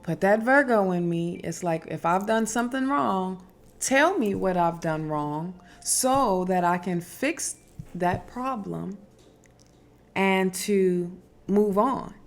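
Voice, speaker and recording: A woman hosting a casual spiritual advice talk, speaking emphatically with fillers and restarts and a strong, loud voice. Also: mid-range voice, animated, slow pace. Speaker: spiritual wellness host.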